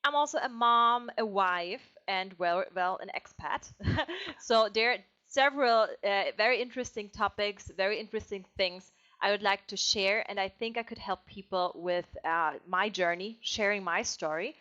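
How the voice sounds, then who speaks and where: A woman's voice: medium pitch, moderate pace, pushed and bright. A German woman co-hosting a podcast in English, speaking in a friendly, hesitant way with many fillers. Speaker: podcast co-host. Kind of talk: casual conversational podcast. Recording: slightly dull, lo-fi sound.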